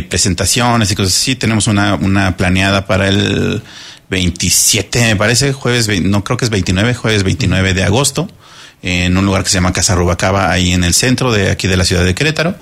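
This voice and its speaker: A musician guest on a radio interview, a man with a low, gravelly voice and a Mexican accent. He speaks relaxed and unhurried, dropping in fillers and tag questions.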